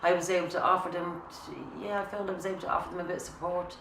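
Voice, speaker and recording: A woman's voice: on the low side, level tone, very fast, a bit bright. A woman and mother, a peer trainee, speaking hesitantly with many restarts. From Ireland. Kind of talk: recorded testimony. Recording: echoey room, mic at a distance, noise.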